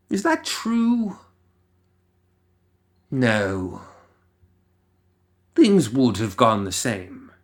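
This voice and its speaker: A man reading a story as a dramatic narrator, musing theatrically with deliberate pauses and rhetorical asides. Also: medium pitch. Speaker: audio fiction reader.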